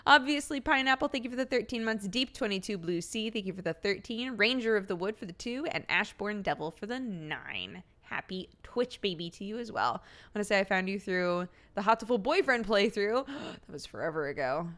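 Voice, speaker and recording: A woman's voice: mid-range voice, animated, brisk, a bit bright. A woman streamer with a slightly phlegmy throat, chatting casually, laughing often and thanking subscribers one by one. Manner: giggly, laughs often between lines.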